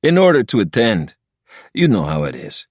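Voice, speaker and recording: A man's voice: fairly low pitch, wildly swooping pitch, normal speed, no echo, clean studio sound, voice pushed up, exaggerated voice. A man voicing a cocky, crude young man who mocks others in a laid-back way. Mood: mocking. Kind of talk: audiobook character voice.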